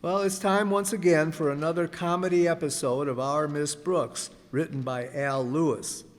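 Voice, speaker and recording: A man playing a polished, formal 1950s radio announcer with deliberate delivery. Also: a little high, fairly even intonation, soft background music, close to an everyday voice. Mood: polished, promotional. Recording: echoey hall, live stage reading.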